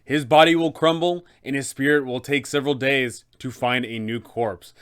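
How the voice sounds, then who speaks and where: A man's voice: mid-range voice, steady tone, moderate pace, a bit bright, clean tone. A man narrating advice for game masters, enthusiastic and speaking straight to viewers. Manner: enthusiastic, addresses viewers directly. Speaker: game master guide host.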